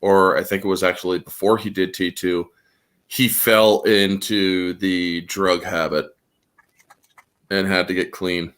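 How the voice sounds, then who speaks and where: A man's voice: low-pitched, little pitch movement, a little slow, a bit bright. A man who is a regular on the show, joking and laughing often in casual chat. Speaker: regular guest.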